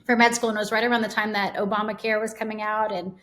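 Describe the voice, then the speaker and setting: A woman, a podcast guest telling her story in a casual, animated way. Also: medium pitch, fast talker. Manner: animated storytelling with quoted asides. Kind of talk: casual interview chat.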